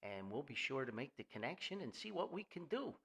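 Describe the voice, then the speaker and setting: Animated older New man, bright voice. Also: mid-range voice, brisk, vocal fry. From New Jersey, United States. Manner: folksy, jokey, rambling, theatrical emphasis. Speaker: podcast host. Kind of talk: informal solo podcast monologue with sponsor reads.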